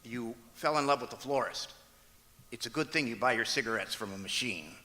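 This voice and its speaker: A man plays a long-suffering comedy husband with a very bright, forceful voice. He explains things drily and patiently, in old radio style, echoing through a hall. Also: medium pitch, a little fast, lightly acted. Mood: wry, deadpan. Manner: dry, patient, explanatory, hesitant. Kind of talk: old-time radio comedy acting on stage. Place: large auditorium stage, live performance. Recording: echoey auditorium stage recording.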